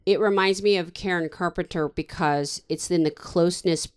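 Low-voiced, smooth woman hosting a chat. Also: fairly even intonation, normal speed. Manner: chatty, rambling, laughs often. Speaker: podcast host. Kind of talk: casual interview chat.

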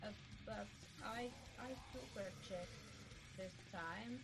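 A woman's voice: medium pitch, slow, very noisy. A woman, a native Finnish speaker, co-hosting a casual podcast and hesitantly searching for words. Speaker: podcast co-host. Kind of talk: casual chat.

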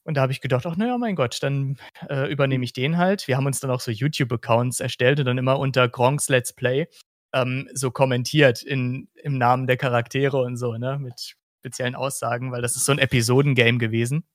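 A man's voice: mid-range voice, fairly even intonation, fairly quick, dry recording, clean studio sound. A man from Hesse in Germany chats casually as a podcast host, explaining things in a rambling way.

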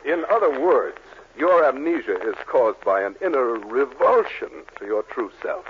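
Man playing a pompous middle-aged shrink, lofty and fussy, swooping lively delivery, dull scratchy old radio sound. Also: mid-range voice, normal speed, close to an everyday voice. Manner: pompous, clinical, growing exasperated. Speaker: psychiatrist in a radio sitcom. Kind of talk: 1940s radio comedy acting. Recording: scratchy 1940s radio broadcast with hiss.

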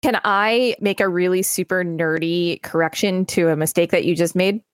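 A woman's voice: fairly low pitch, normal speed, smooth, dry recording, clean studio sound. A woman co-hosting a podcast, reading encyclopedia text aloud with conversational asides. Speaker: podcast co-host. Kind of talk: reading encyclopedia pages aloud with casual commentary.

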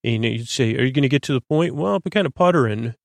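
Creaky-voiced, meandering man. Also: mid-range voice, lively intonation, no echo. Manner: meandering, halting, stuttering. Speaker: podcast host. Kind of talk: rambling bedtime podcast intro.

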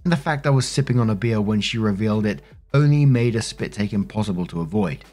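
A man narrating online stories in a steady, even reading voice. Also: on the low side, fast talker. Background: faint music.

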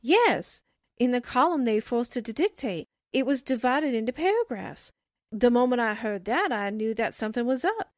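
A woman acting a motherly, shrewd secretary sidekick in 1940s radio style. She has a fairly high, bouncy, emphatic voice in a dry recording. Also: animated, moderate pace, no echo, close to an everyday voice. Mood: knowing.

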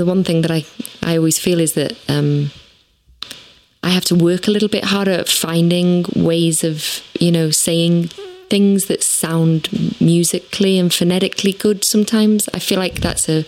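A woman musician answering interview questions, thoughtful and halting, with many fillers and casual swearing. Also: lowish voice, low-quality recording. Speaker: musician, band lyricist.

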